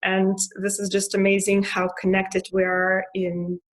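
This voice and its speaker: Russian-accented woman, hesitant and flat. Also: medium pitch, measured pace, rough recording. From Russia (Moscow). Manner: hesitant, many fillers and restarts; laughs softly now and then. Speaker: interviewee. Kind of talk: casual personal monologue.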